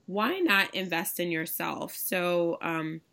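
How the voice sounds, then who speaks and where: A woman hosting a podcast in casual, chatty conversation, her voice raspy and hoarse from a recent illness. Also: lowish voice, takes it slowly, pushed and bright. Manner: chatty, laughing easily, enthusiastic. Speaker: podcast host. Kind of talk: casual chat.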